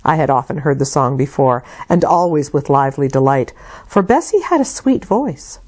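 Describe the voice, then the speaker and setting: A woman narrating literary fiction as an audiobook, with measured, expressive delivery and deliberate phrasing. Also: low-pitched. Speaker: audiobook reader.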